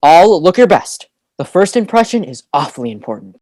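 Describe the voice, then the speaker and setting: A woman's voice: lowish voice, very animated, normal speed, very noisy. A woman playing a proud, commanding boy leader in an audio play. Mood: bossy, eager.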